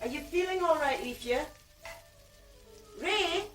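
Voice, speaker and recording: An actress playing a caring friend in a radio drama, speaking in a gentle, teasing way. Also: female voice, high voice, lively intonation, takes it slowly, faint music behind, poor audio.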